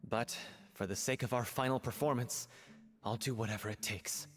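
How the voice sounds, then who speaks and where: A man's voice: medium pitch, fairly even intonation, normal speed, long reverb tail, close to an everyday voice. A man voicing an earnest, lovesick young actor in a game troupe, speaking in a sincere, confessional way. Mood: nervous.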